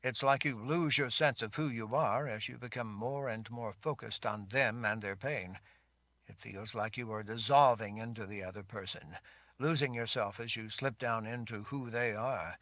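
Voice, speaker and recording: A man's voice: mid-range voice, normal speed, pushed and bright, dry recording. A man voicing a protective lord who speaks in a firm, insistent voice. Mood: gentle.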